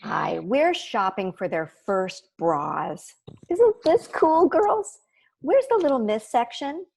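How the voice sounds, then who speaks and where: A woman's voice: on the high side, big pitch swings, slow pace, vocal fry, darkish tone, a little hiss or hum, exaggerated voice. A woman playing a chirpy, doting, pushy sitcom mother in a table read over a video call. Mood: delighted, chatty. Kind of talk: comedy script table read. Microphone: computer on video call.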